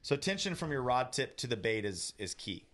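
A man's voice: medium pitch, sing-song, normal speed, fairly bright tone. A man in his thirties, co-hosting a podcast, explaining step by step with frequent restarts, recorded on a studio mic in a small room. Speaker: co-host, fishing guide. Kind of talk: casual podcast chat. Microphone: studio mic on boom arm.

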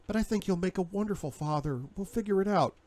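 A man voicing a frightened, apologetic young woman who speaks softly and pleadingly in an audiobook. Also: sits high, fast, pitched above the performer's own voice, close to an everyday voice. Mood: hushed, pleading. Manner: soft, hushed, pleading.

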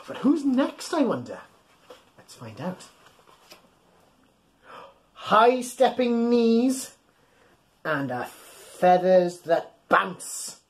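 A man in his thirties hosting an interactive picture-book read-aloud, playful and exaggerated, talking straight to young listeners and encouraging them. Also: sits high. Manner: animated, physically acting along. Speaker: children's storytime host. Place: small indoor room at home.

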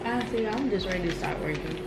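A woman's voice: medium pitch, expressive, fast, heavy background noise. A young woman co-hosting a casual food review vlog, chatty and teasing, with easy slangy talk, seated at a table close to the camera indoors. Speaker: vlog co-host.

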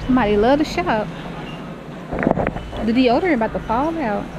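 A woman's voice: a little high, animated, moderate pace. An American woman in her thirties chatting casually for a shopping vlog on a handheld camera. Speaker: vlogger. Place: large warehouse store and open parking lot. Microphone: handheld action camera at arm's length. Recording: muffled action camera audio, heavy ambient noise.

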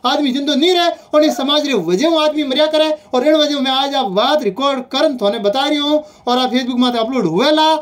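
High voice, Rajasthani accent, heated phone argument, insistent, repeats points, recorded call. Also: a little fast, hardly any pauses. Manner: insistent, repetitive.

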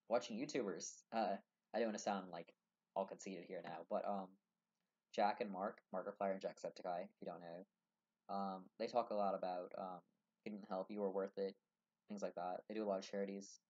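A man's voice: sits low, steady tone, moderate pace, darkish tone. Earnest young man on lo-fi webcam. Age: young adult. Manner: earnest, rambling, many fillers and restarts. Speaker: vlogger. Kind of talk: casual talk to camera. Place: small room indoors. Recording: dull lo-fi webcam audio.

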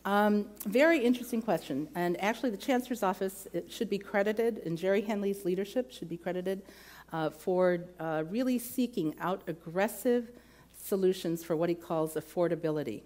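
A woman's voice: mid-range voice, moderate pace, darkish tone. A middle-aged woman from the American Midwest speaking as a candidate at a campus forum in a lecture hall, earnest and detailed, on a lapel mic. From Midwestern United States. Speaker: provost candidate.

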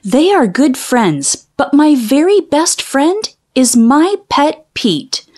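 A woman reads aloud for young children at an unhurried pace. Her voice is fairly high and swings widely in pitch. She is careful and deliberate, drawing out key sounds. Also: very animated, darkish tone. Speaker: read-aloud storyteller for children. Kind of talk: scripted children's story and phonics reading.

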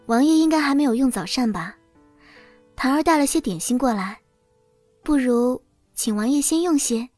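A woman playing a meek, demure young princess consort in an audio drama, soft-spoken, careful and deferential. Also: sits high, animated, moderate pace, no echo, soft background music. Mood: demure, polite.

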